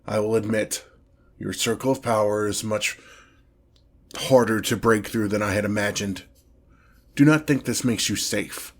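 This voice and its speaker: A man voicing a haughty, exasperated demon lord in a fantasy audio roleplay, speaking formally and pompously. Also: on the low side. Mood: grudging. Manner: pompous, formal, slow deliberate phrasing with irritated sighs. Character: haughty, exasperated male demon lord, grandiose but petty. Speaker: character performer in roleplay audio. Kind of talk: scripted ASMR fantasy roleplay, one-sided dialogue.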